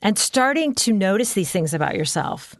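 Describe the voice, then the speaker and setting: A woman brand consultant talks through her coaching process in a loose, chatty way, filling pauses with ums and you-knows. Her pitch sits in the middle range, and the recording is dry and close with no room sound. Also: very animated, dry recording. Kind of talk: casual coaching chat.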